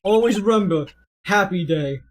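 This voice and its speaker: A streamer voicing a simple, earnest male death row convict in halting, broken English for a video game read-aloud. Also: mid-range voice, lively intonation, slight background noise, close to an everyday voice. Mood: wistful.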